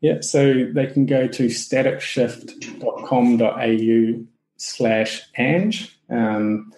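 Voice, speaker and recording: Man, New Zealand accent, podcast guest, mellow voice, filler-heavy casual talk, echoey room. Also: mid-range voice, takes it slowly, slight background noise. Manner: relaxed, many fillers and restarts. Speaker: podcast guest, SEO business owner. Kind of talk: casual interview chat.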